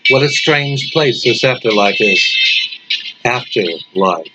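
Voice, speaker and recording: A man reading a horror story as scripted narration, deliberately, with dramatic pauses. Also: medium pitch, expressive, loud music behind the voice. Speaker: story reader. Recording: dull lo-fi recording with loud background noise.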